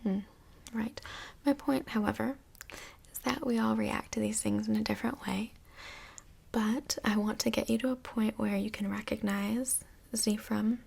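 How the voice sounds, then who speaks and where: Woman doing a soft asmr couples counsellor lady, slightly high breathy voice, tons of fry, gentle and chatty. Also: fairly even intonation, exaggerated voice. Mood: calm, professional. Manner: gentle, measured therapist talk with reflective pauses. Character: calm, chatty female couples counsellor working in Hell. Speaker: ASMR role-play performer. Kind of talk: soft-spoken ASMR role play.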